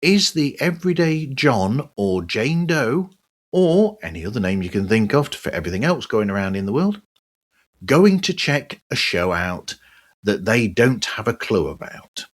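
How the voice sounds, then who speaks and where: A man hosting a podcast monologue, giving his opinions in a conversational, emphatic way with a husky voice. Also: mid-range voice, sing-song, unhurried. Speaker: podcast host. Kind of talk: podcast monologue, part scripted, reviewing other shows.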